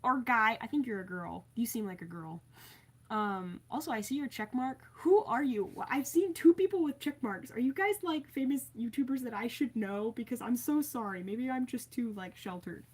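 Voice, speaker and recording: A woman's voice: on the high side, expressive, normal speed, breathy edge. A woman from Maryland chatting casually on a live stream, reading viewer comments aloud in a playful, chatty way. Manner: chatty and playful, with many fillers and asides.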